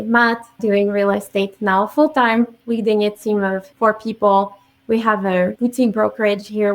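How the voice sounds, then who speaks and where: French canadian woman, french is her first language, podcast guest, steady optimistic storytelling, middle pitch. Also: vocal fry. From French-speaking Canada. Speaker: guest, real estate agent. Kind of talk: podcast interview.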